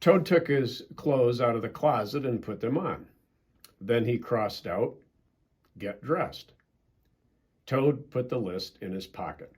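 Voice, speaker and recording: A man's voice: medium pitch, measured pace, darkish tone, husky. An older man with an American accent, a pastor reading a children's storybook aloud and chatting casually.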